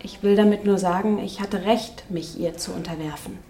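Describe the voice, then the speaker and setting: Steadfast young gentlewoman, period radio drama actress. Soft mellow tone, measured and earnest, audible room echo. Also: mid-range voice, fairly even intonation, lightly acted. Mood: earnest, reflective.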